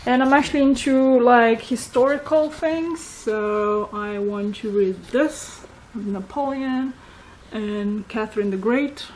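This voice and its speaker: A young woman with a Romanian accent, a native Romanian speaker, chatting casually in a home vlog and often pausing to search for words. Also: a little high, a little mellow, a little hiss or hum, rough recording. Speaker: vlogger.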